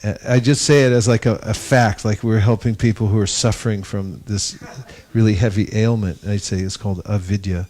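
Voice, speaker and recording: A man's voice: fairly low pitch, steady tone, brisk, few pauses, a little mellow. A man leading a devotional class discussion, telling anecdotes with wry humor. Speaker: discussion leader. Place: gathering in India.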